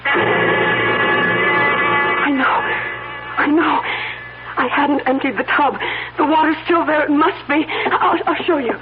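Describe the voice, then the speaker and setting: An actress playing a distraught, insistent newlywed wife in a radio drama, agitated and repeating herself. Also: female voice, high voice, little pitch movement, slow pace, long pauses, over music. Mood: bewildered, distressed. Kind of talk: 1970s radio drama acting. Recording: muffled humming old radio recording.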